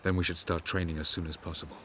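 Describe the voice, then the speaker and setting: An actor playing a calm, aloof male elven sage who mentors a young woman, speaking in a measured, stern way in a game cutscene. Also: deep voice, slight background noise. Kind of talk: video game cutscene acting.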